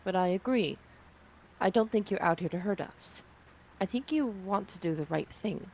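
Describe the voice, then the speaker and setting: A woman's voice: mid-range voice, lively intonation, normal speed, a little mellow, slight background noise, close to an everyday voice, rough recording. A woman playing a skeptical young alien rebel captain, firm and curt, in a sci-fi table read. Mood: firm, frank.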